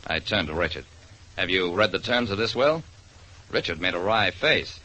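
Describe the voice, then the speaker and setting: A man's voice: deep voice, lively intonation, normal speed, sharp tone, full character voice. An actor playing a wry private detective who narrates his case in 1940s radio drama style. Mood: dramatic narration. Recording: noisy vintage radio recording.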